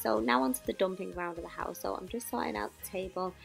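Chatty young British woman with creaky voice. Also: mid-range voice, quick pace. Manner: chatty, informal. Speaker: home cleaning vlogger. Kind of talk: casual voice-over narration of household chores. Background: background music.

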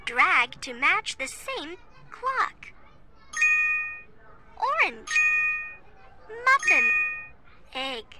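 Very high, bright childlike learning-game voice. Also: sing-song, very slow, long pauses. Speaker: voice prompts in a children's learning app. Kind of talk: scripted educational game prompts, one word or short phrase at a time. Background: music.

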